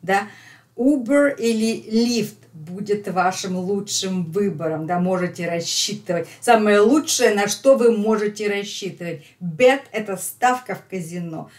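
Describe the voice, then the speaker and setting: A woman's voice: mid-range voice, darkish tone. A middle-aged woman with a Russian accent teaching English, explaining line by line and repeating phrases emphatically for learners. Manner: slow, emphatic repetition for learners. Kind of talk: lesson explaining a phone call line by line.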